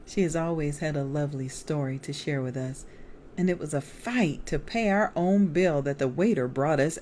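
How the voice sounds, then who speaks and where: A middle-aged American woman reading her memoir aloud as author and evangelist, with deliberate, expressive storytelling. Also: very deep voice, slight background noise.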